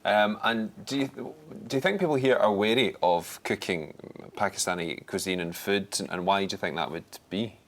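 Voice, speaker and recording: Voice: sits low, moderate pace, few pauses, a little hiss or hum. A TV presenter asking a guest brief, prompting questions in a studio interview.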